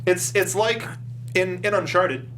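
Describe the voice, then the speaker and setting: A man's voice: sits high, a little slow, a bit bright, a little hiss or hum. A man co-hosting a movie review show, talking casually and giving commentary on films and games. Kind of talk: casual chat, film and game commentary.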